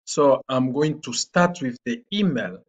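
Slow, halting man giving a screen tutorial. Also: medium pitch, animated, muffled recording. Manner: slow and halting, with frequent "uh" fillers. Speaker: online platform trainer. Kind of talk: screen-recorded tutorial walkthrough.

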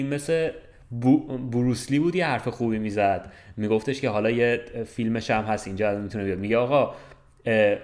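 A man's voice: mid-range voice, a little mellow. Young man chatting casually. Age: 20s. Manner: colloquial, explanatory, checks in often. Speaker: podcast co-host. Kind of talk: casual chat. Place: small bright room with large window.

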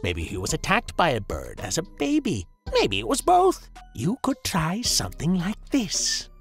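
A man's voice: a little high, huge pitch swings, unhurried, fairly bright tone, faint music behind, full character voice. A man voicing a weary, menacing shapeshifting clown monster in an audio story, with a theatrical, exasperated way of lecturing. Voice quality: gravelly, swooping voice.

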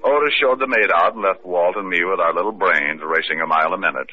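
Low-voiced guy playing a hardboiled detective, tinny old radio sound with hiss. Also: quick pace. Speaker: detective narrator of a radio mystery. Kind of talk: 1940s radio acting.

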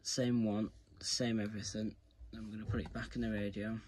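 A man with a fairly low voice walks viewers through a hands-on demonstration in a casual, chatty way. He is recorded indoors, with clear background noise and clicks from handling objects. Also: little pitch movement, moderate pace, darkish tone. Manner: informal, addresses viewers as "guys". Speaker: video creator giving a tutorial. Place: indoors, carpeted room.

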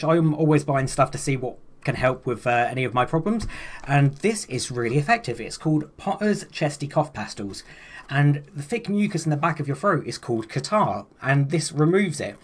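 A young man, chatting casually to camera from a small room about his home remedies, rambling and poking fun at himself. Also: medium pitch. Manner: chatty, rambling, self-deprecating. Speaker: vlogger.

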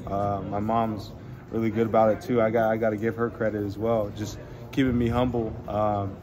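Young male player, low register, loose postgame answers, crowd noise behind. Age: young adult. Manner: relaxed, rambling, many fillers and restarts. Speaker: college basketball player. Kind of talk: postgame media scrum. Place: arena hallway. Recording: crowd noise in arena hallway.

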